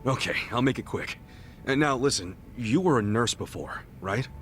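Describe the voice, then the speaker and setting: An actor playing an earnest, excitable, loyal friend in a video game, with an eager, informal way of talking. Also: male voice, mid-range voice, moderate pace, soft background music, natural voice. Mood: earnest, probing. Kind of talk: dramatic game cutscene acting.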